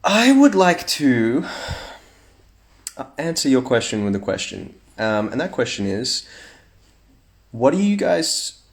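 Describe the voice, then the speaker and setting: A man's voice: mid-range voice, very animated, slow, gravelly, long pauses. A young man chatting casually on a phone livestream at home, reading fan comments aloud with playful asides.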